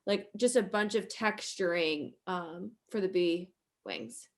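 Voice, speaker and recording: A woman's voice: mid-range voice, slow pace. A woman with a mid-range voice chats informally in a group discussion. She speaks as the host, in an everyday conversational style. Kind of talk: casual group chat about embroidery.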